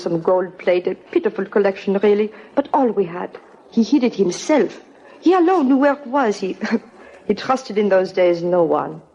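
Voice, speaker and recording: An actress playing a refined, pleading European princess in exile, speaking formally and haltingly in an old-time radio drama. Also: female voice, medium pitch, warm tone, husky. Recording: dull, muffled old radio recording.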